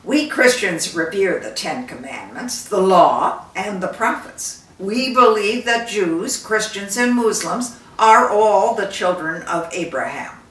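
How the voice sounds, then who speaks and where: An older woman reading the part of a gentle, devout prince with a reverent, measured delivery. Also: medium pitch, animated. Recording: roomy, distant microphone.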